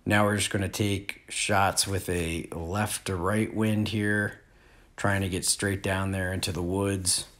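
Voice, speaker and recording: A man in his forties giving a relaxed, plain-spoken disc golf lesson outdoors in an open field, recorded on a nearby camera. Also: low voice, a little slow. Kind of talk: outdoor instructional talk.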